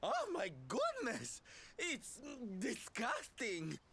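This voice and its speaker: A man voicing a flamboyant, warm-hearted entertainer and adventurer in a video game, in a camp, gushing, dramatic manner. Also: very high voice, huge pitch swings, extremely slow, vocal fry, breathy edge, heavily acted. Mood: appalled.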